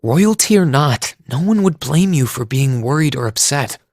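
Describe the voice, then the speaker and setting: Lively, earnest young hero. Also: male voice, medium pitch, moderate pace, no room sound, clean studio sound. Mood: reassuring. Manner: sincere, considerate. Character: earnest, kind teenage hero and protective big brother. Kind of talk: anime game dub acting.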